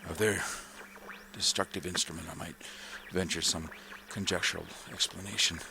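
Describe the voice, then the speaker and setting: A grave, gravelly professor. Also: male voice, sits low, steady tone. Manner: slow, formal, hesitant. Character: grave older male professor, measured and formal. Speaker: scientist. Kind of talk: 1930s radio drama acting. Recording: noisy old radio recording, direct wire.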